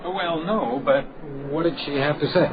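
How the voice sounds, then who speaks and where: An actor playing a wry, quietly gallant house detective who narrates a noir radio drama in a dry, understated way. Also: male voice, fairly high pitch, normal speed, over a noisy crowd, close to an everyday voice. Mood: hesitant, dry. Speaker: lead character and narrator. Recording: dull lo-fi audio, music behind.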